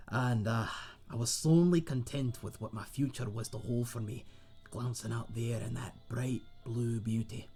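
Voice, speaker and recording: Man acting a husky, folksy tavern storyteller. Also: mid-range voice, a little mellow. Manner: chatty, folksy, dramatic pauses, calls listener mate. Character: friendly rambling male tavern owner, seasoned fantasy adventurer. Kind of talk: fantasy audio roleplay storytelling to one listener.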